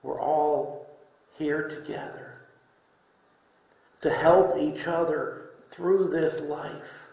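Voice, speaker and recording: A middle-aged man preaching a sermon in deliberate short phrases with pauses, reading scripture from a lectern. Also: fairly high pitch, fairly even intonation, darkish tone. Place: indoor room, speaking from a lectern. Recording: echoey room.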